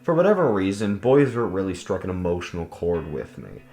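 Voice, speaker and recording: A man's voice: sits low, sing-song, fairly quick, mellow, reverberant. A man giving casual, opinionated video essay commentary in an informal, emphatic way that leans on intensifiers and casual swearing. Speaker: host of a video guide.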